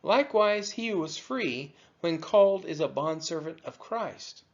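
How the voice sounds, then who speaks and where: Expressive man reading scripture, dull audio. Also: on the high side, a little slow. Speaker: scripture reader. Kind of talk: scripted read-aloud of scripture.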